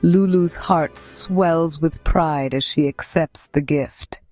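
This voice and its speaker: A woman's voice: deep voice, slow pace, dark tone, faint music behind. A woman narrator hosting a children's story channel, reading a scripted tale for young listeners.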